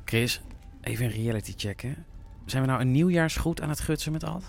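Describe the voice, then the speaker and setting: An actor playing a wry, sarcastic adult son in a naturalistic radio drama. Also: male voice, mid-range voice, a little fast, vocal fry, close to an everyday voice. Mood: teasing. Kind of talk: naturalistic Dutch audio drama acting.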